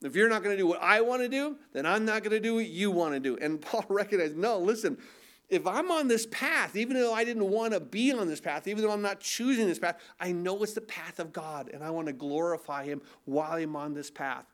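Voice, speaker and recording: A man's voice: sits high, fairly quick, fairly bright tone. A man with a nasal voice preaching a sermon as pastor, talking conversationally and throwing in rhetorical questions.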